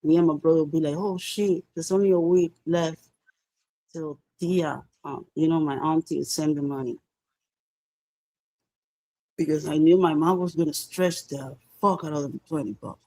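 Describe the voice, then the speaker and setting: Mellow Cuban-accented woman telling stories casually. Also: sits low, steady tone, moderate pace, no echo, poor audio. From Cuba. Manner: informal, peppered with swearing. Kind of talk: casual personal storytelling.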